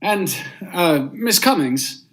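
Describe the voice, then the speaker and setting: A man's voice: high-pitched, animated, slow. A young man acting a stern judge and narrator in a vintage radio drama, speaking formally and with measure. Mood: polite, controlled. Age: young adult. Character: stern, unmoved male judge, husband and brooding narrator.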